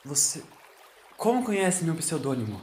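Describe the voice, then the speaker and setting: A man's voice: fairly high pitch, sing-song, moderate pace, darkish tone, echoey room, slight background noise, voice pushed up. A Brazilian man voicing a haunted small boy with a wrathful, grandiose Time Lord soul, in an audio drama. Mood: bitter, agitated. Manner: impassioned, grandiose proclaiming.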